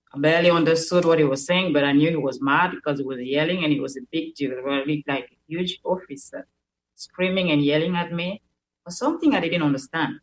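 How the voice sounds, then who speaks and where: A woman's voice: deep voice, rough recording. Earnest woman with a Cameroonian French accent. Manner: earnest, repeats words while searching for them. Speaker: guest. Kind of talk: personal storytelling on a talk show.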